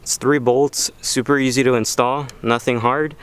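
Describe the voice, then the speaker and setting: Male how-to host, mid-pitched and clear, informal, explaining step by step. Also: fairly even intonation, moderate pace, fairly bright tone. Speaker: video host. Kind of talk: casual how-to tutorial.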